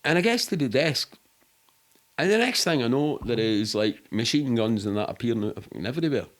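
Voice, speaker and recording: A man's voice: medium pitch, lively intonation, fairly quick. A middle-aged man with a Glasgow-area Scottish accent telling anecdotes casually as a podcast guest, sweary and colloquial, acting out what people said. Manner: sweary, colloquial, acts out quoted speech. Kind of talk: animated anecdotal storytelling, casual.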